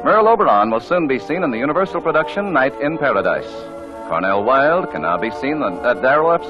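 A man announcing a 1940s radio broadcast from a script. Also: mid-range voice. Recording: dull vintage radio recording with music.

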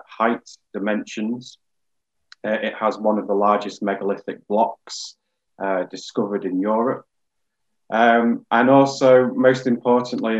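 A man in his thirties, a geologist guest giving a measured, factual, informal explanation over a video call. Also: on the low side. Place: indoor room. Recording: dull, lo-fi video-call audio.

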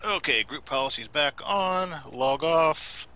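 A man with a bright, lively voice chats with his friend during a co-op game, relaxed and laughing easily. A faint hiss of background noise sits under his voice chat mic. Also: on the high side, slow. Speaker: co-op teammate. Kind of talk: casual voice chat.

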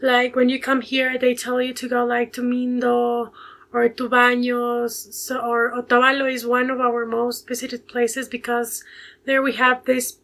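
A woman's voice: on the high side, completely flat delivery, a little slow, fairly bright tone. A nineteen-year-old woman from Ecuador, speaking English with a Spanish accent on a video call from home, hesitant and full of fillers as she searches for words. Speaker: guest. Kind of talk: casual video call interview. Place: home bedroom with bookshelves. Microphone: earphone mic on a video call.

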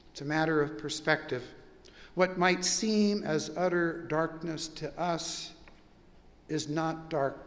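Older pastor preaching slowly in a reverberant church. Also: male voice, on the high side, a bit bright. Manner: measured, reflective preaching with drawn-out pauses. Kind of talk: sermon. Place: large church sanctuary with stone wall.

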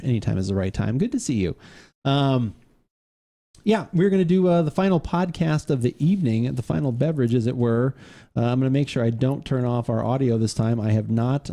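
Brief: man in his 40s, podcast host, mellow and rambling, studio boom mic. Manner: rambling, jokey, lots of fillers. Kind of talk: casual live-stream chat. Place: home studio. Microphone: studio mic on boom arm.

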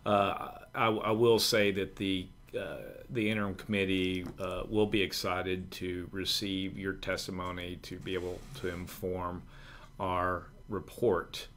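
A middle-aged man with a low voice chairs a town hall on a video call. He is courteous and formal as he puts questions to the panel. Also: little pitch movement, measured pace, a bit bright, a little hiss or hum. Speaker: state representative hosting a town hall. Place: indoor room, on a video call.

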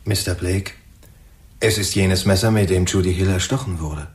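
Male actor as police sergeant, sober, matter-of-fact, slightly low pitch, radio drama. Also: moderate pace, close to an everyday voice. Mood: businesslike.

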